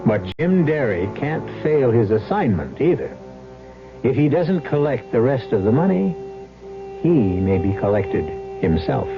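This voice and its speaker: A man narrates as host of an old radio mystery, with theatrical, deliberate phrasing. His mid-pitched voice sits over clear music, and the recording is slightly dull. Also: very animated. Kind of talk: dramatic scripted narration.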